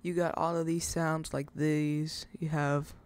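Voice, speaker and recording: A casual, rambling speaker hosting an informal step-by-step software tutorial, recorded close to the mic as a voice-over. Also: medium pitch, flat delivery, a little slow, clear, resonant tone. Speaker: tutorial host.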